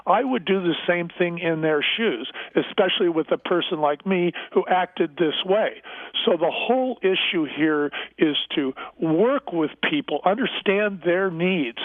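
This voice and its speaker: A man giving an interview over a phone-like line, telling stories conversationally with emphatic, measured phrasing. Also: a little high, no echo. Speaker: interview guest, business founder.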